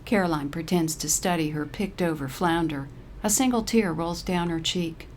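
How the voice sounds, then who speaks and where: Low-pitched woman narrating a story. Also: moderate pace, darkish tone, slight background noise. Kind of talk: scripted humorous story narration.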